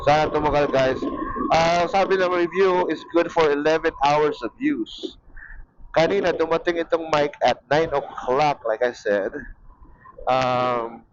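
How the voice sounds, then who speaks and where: Slow, halting Filipino man in a muffled helmet mic. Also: on the high side, clear, resonant tone, no room sound. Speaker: motorcycle vlogger. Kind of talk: casual motovlog narration to viewers. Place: outdoors, riding a motorcycle. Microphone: small mic near the mouth inside helmet.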